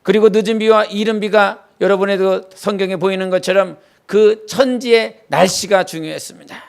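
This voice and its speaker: Male pastor from Jeolla, high and smooth voice, earnest sermon with folksy anecdotes in a church. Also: fairly even intonation, normal speed. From Jeolla, South Korea. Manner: earnest preaching mixed with homely childhood anecdotes. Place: church sanctuary.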